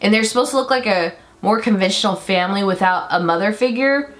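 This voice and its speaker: A young woman with a deep voice for a woman talks through her makeup routine in a loose, rambling, excited way, full of fillers. Her pitch sits in a normal middle range. Soft music plays faintly behind her. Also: quick pace. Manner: rambling, enthusiastic, lots of fillers. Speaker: beauty vlogger. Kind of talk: chatty get-ready-with-me makeup talk.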